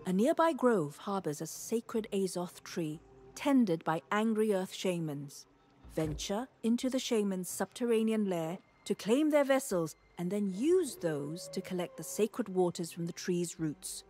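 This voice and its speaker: A woman's voice: medium pitch, expressive, a little slow, mellow, soft background music, close to an everyday voice. A woman playing an eager, thoughtful alchemist in a fantasy game, explaining things expressively and reflectively. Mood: earnest, reflective. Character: eager, thoughtful female alchemist, devoted to nature. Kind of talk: scripted game dialogue.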